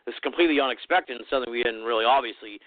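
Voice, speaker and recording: A man's voice: medium pitch, very fast. Rambling male radio host, tinny sound. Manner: loose, rambling, many fillers. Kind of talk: casual radio interview. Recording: dry tinny phone-like sound.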